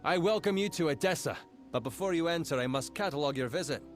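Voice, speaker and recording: An actor playing an officious, self-important gate registrar in a video game, speaking in a formal, fussy manner. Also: male voice, a little high, expressive, fast talker, music in the background, close to an everyday voice. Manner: formal, fussy, stammers when flustered.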